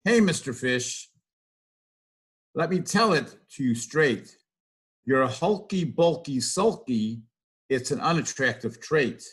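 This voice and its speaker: A man's voice: mid-range voice, animated, takes it slowly, long pauses, slightly rough. A man voicing a blunt sea creature from a picture book, scolding a friend in sing-song rhyme. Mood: blunt, nagging. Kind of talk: read-aloud picture book.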